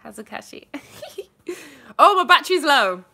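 Bubbly young woman, lively bright voice. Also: high-pitched, normal speed. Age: young adult. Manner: bubbly, chatty, animated. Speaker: livestream host answering viewer questions. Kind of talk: casual live chat. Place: small bedroom indoors. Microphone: phone held at arm's length.

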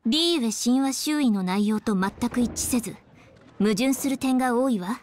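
A woman's voice: fairly high pitch, moderate pace, background noise, lightly acted. An actress playing a polite, composed young female scholar in an anime game, speaking in an articulate, explanatory way. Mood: studious, explaining.

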